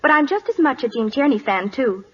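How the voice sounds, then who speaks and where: A woman's voice: sits high, animated, fairly quick, fairly bright tone, slightly rough. A young woman in her late teens as a radio guest, eager and polite in a scripted 1940s interview. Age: teens, seventeen. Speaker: guest starlet. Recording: muffled old-time radio recording.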